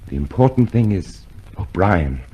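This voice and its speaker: A man's voice: low-pitched, very animated, measured pace, warm tone, slightly rough, exaggerated voice, rough recording. An actor playing an anxious, hopeful man in secret revolt in a radio drama, speaking hesitantly and fretfully. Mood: anxious, urgent. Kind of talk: old-time radio drama acting.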